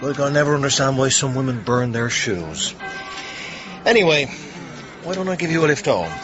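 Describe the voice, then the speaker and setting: A man's voice: mid-range voice, animated, moderate pace, over music, lightly acted. An actor playing an exasperated, sardonic male police detective in a radio drama, teasing as he talks. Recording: dull sound, clear car noise. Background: car interior and traffic.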